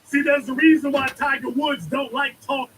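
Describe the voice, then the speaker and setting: Man, high pitch, bright voice, preachy emphatic monologue. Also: steady tone, normal speed, a little hiss or hum, poor audio. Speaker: commentator. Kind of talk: political monologue.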